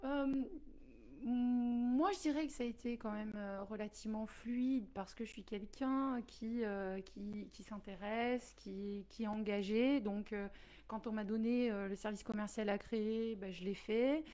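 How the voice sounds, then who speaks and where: A French-born woman, a company director, answering interview questions in a steady, earnest way with frequent fillers. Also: medium pitch, measured pace. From France.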